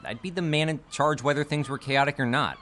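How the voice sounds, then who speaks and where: An actor playing a harried, evasive, earnest young theater director in an audio drama. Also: male voice, fairly high pitch, fairly quick, a bit bright, close to an everyday voice. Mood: weary, defensive. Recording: faint background noise.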